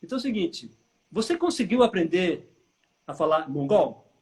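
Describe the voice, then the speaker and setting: A man hosting a live interview, chatty and prompting, often tagging his questions with a quick "tá?". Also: sits high, sing-song, a little mellow. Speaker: interview host, former teacher.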